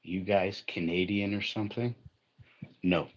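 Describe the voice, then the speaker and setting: A man's voice: low voice, steady tone, a little slow, muffled recording. A man in casual, joking livestream chat, talking in a blunt, wisecracking way. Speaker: livestream co-host or guest.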